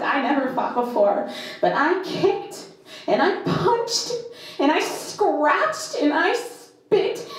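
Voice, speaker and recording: A woman performing a first-person dramatic monologue at a live reading, in short, deliberate, halting phrases with emphatic repetition. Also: high-pitched. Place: indoor live performance in a club. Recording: very echoey live venue.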